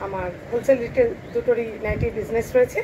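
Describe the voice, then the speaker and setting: Casting: woman, Kolkata Bengali accent, higher-pitched, informal shop-owner sales talk with English mixed in, recorded over clear background noise. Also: fairly even intonation, fast. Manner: repeats phrases, mixes English words into talk. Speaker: shop owner presenting nightwear for sale. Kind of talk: informal online sales presentation.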